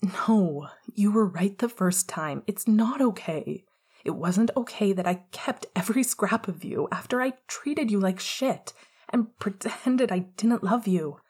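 A remorseful young man, tearful and pleading, breathes out his words in a soft, breathy whisper, as a woman reads him aloud. He keeps his voice low and gentle. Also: expressive, measured pace.

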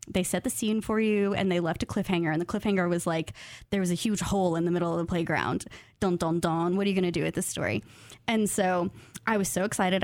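A woman speaking as a guest writer in a casual interview, thoughtful and self-deprecating, quick to laugh. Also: on the low side, a little mellow, dry recording. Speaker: guest writer, graduate student of creative nonfiction.

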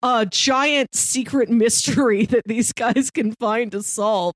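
A woman's voice: on the high side, takes it slowly, dry recording. Casual woman podcast co-host, dry close sound. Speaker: podcast co-host discussing films. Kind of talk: casual film discussion.

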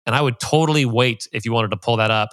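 A man's voice: medium pitch, quick pace, a bit bright, dry recording, studio quality. A man hosting an interview as a coach, chatty and full of filler words as he retells a client story. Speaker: podcast host and coach. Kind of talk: casual interview talk.

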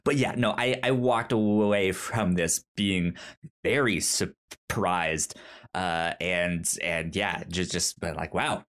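A podcast co-host chatting casually about a film, haltingly, often repeating words and acting out their own reactions. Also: deep voice, fairly even intonation, a bit bright. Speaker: podcast co-host reviewing films. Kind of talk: casual chat, film review.

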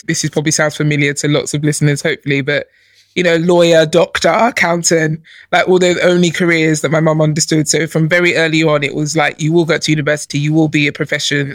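Lively woman telling her story. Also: low voice, no echo. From born in Nigeria, raised in London. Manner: lively storyteller, laughs often. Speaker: guest, chief executive. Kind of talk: casual podcast interview.

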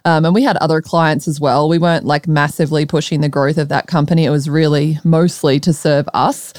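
A woman with an Australian accent, talking informally as a podcast guest and quick to laugh. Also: low-pitched, level tone, a little mellow, no echo, clean studio sound. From Melbourne, Australia. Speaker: podcast guest, startup founder. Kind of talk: conversational interview.

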